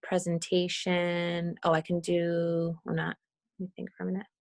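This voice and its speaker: A woman with a slightly low, creaky voice walks her team through an on-screen task. She speaks casually, thinking out loud as she goes. The sound is dry and close, with little room around it. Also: completely flat delivery, normal speed, no echo. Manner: casual, thinking aloud while demonstrating. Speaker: team leader giving a tutorial. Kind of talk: informal screen-share walkthrough on a group call. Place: video call with screen share.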